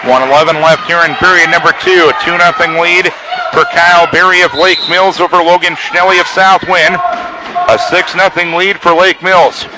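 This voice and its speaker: Loud crowd noise fills the gym around a man doing radio play-by-play. His voice sits high for a man. He gives crisp, factual updates on score and clock as a live sports announcer. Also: steady tone, normal speed. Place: high school gymnasium during a live meet. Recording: very noisy live gymnasium crowd recording.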